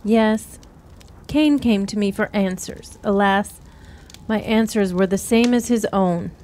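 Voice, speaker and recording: A woman playing a cryptic, sorrowful wise woman who counsels youths in measured riddles in a fantasy radio drama. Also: medium pitch, warm tone, a little hiss or hum, lightly acted. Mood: sorrowful, calm. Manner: measured, riddling, archaic storyteller phrasing.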